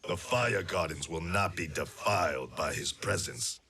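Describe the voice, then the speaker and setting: An actor playing a grim, honorable ninja warrior in a video game, solemn, terse and deliberate. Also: male voice, low voice, creaky, noisy background. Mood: fierce. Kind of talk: dramatic video game acting.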